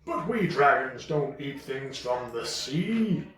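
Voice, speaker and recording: A man's voice: mid-range voice, very animated, takes it slowly, a bit bright, a little hiss or hum. A man voicing a big, greedy, doting dragon dad in an improvised puppet show, hammy and relishing his words. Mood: fatherly. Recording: roomy, echoing, distant from the mic.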